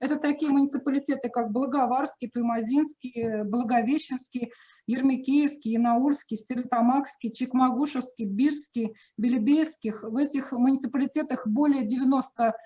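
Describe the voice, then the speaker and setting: A woman with a higher, mellow voice reads out statistics at a formal government meeting. She hesitates often and corrects herself mid-phrase. Her delivery is the plain, careful tone of an official report. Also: fairly even intonation, normal speed. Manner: hesitant, many fillers and self-corrections, reading figures.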